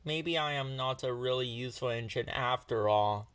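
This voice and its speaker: A man voicing a boastful, excitable young male steam engine in a children's storybook. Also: mid-range voice, normal speed, smooth, dry recording, natural voice. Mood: dismayed, sad. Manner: boastful, exclamatory.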